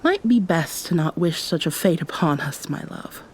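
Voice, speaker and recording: A woman playing an affectionate, teasing yet commanding succubus pirate captain in an intimate ASMR roleplay, softly spoken and often near a whisper, with formal old-fashioned phrasing. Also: deep voice, expressive, normal speed, a little hiss or hum. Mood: earnest.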